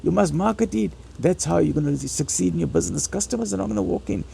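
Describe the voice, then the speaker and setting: A man with a raspy voice giving a motivational religious lecture, urging listeners emphatically and repeating phrases for stress. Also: on the high side, expressive, fast, warm tone, slight background noise. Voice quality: raspy, gravelly.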